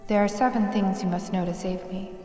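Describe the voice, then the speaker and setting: Man voicing a high, echoing dream girl. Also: steady tone, fairly quick, a little mellow, lightly acted. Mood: cryptic, solemn. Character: cryptic, urgent girl in a prophetic dream. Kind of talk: audio drama acting. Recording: dreamlike echo, long reverb, music behind.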